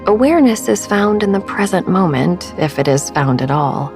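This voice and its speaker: A woman narrating a guided sleep meditation as host, soft and breathy, soothing and unhurried, often trailing into a whisper. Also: on the low side, animated. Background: soft background music.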